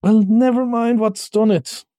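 Man voicing a plaintive, high-pitched storybook mole. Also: animated, moderate pace, dark tone, no room sound, clean studio sound, close to an everyday voice. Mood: miserable. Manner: plaintive, huffy complaining. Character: grumbling, self-pitying male mole, literal-minded and pettish.

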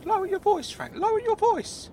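A man voicing a timid, grumbling older companion who fusses and protests anxiously in a comic audio story. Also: moderate pace. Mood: hushed, alarmed. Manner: fussy, anxious, hushing and protesting. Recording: faint rumbling background noise.

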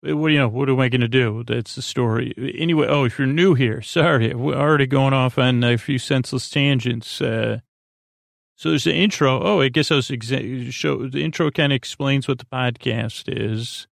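A man with a creaky voice hosting a sleep podcast, rambling in a soothing, halting, meandering monologue full of filler words. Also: mid-range voice, no echo, clean studio sound. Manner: halting, stumbling over words with drawn-out filler words; lulling, soothing. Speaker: host of a bedtime story sleep podcast.

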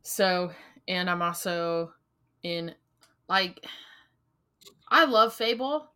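A woman's voice: fairly low pitch, animated, drawn-out words, bright, forceful tone, clean tone. A woman co-hosting a book podcast in casual chat, often laughing and offering short supportive replies. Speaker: podcast co-host.

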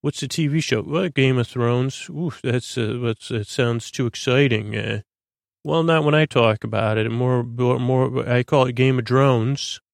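A man hosting a bedtime podcast in a creaky, lulling voice, droning along in a halting, stammering, meandering monologue meant to help listeners sleep. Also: medium pitch, steady tone, no echo, clean studio sound. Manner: halting, stammering, repeats and plays with words. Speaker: podcast host.

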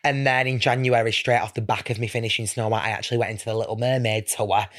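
A man's voice: mid-range voice, steady tone, quick pace, fairly bright tone. A young man, chatting casually as a guest in a camp, gushing, exaggerating manner. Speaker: podcast guest, panto performer. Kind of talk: casual chat.

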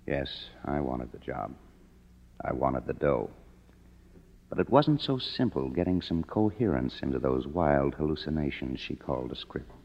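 Cynical young screenwriter, American, very low voice, lively swings, sardonic radio narration. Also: male voice, measured pace, gravelly, full character voice. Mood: wry, weary. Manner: wry, sardonic. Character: cynical, broke young screenwriter narrating his own story. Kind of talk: 1950s radio drama acting, wry first-person narration. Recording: muffled old radio broadcast, music behind.

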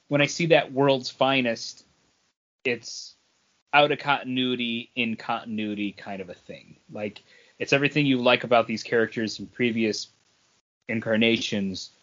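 A man's voice: medium pitch, fairly bright tone, dull audio. A man co-hosting a podcast, giving his take in casual, rambling review chat as he thinks aloud. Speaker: podcast co-host reviewing comics.

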